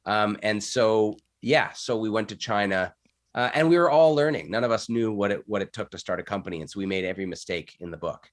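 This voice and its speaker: A man's voice: lowish voice, brisk, no echo, clean studio sound. A Canadian man speaking as an interview guest, telling stories in a casual, candid, conversational way and often interrupting himself. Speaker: interview guest, entrepreneur and former actor.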